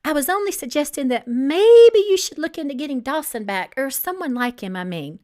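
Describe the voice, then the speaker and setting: A woman's voice: sits high, expressive, normal speed, darkish tone, voice pushed up. A woman voicing a timid, bookish young male assistant in an audiobook, flustered and anxious, stammering a little. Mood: anxious, apologetic.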